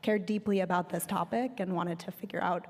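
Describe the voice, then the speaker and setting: A hoarse woman speaking as a panelist, measured and reflective, with frequent ums. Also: on the low side, fairly even intonation, darkish tone. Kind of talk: reflective conference panel talk. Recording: reverberant hall.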